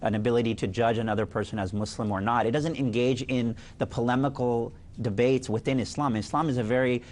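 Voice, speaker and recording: Male expert, medium pitch, articulate, formal lawyerly phrasing, explanatory panel talk. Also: steady tone, fairly quick, hardly any pauses, darkish tone. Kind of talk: explanatory discussion.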